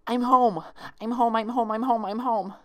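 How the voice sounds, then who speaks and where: A man voicing an anxious, excitable, bookish young female pony scholar in a story reading. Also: very high-pitched, normal speed, pitched above the performer's own voice. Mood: frantic relief. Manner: agitated, questioning herself.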